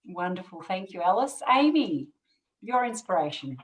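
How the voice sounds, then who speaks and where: A woman's voice: fairly low pitch, very animated, normal speed, distant mic, poor audio. A woman hosting a webinar interview, thanking each guest briefly and posing the next question. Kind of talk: interview moderating.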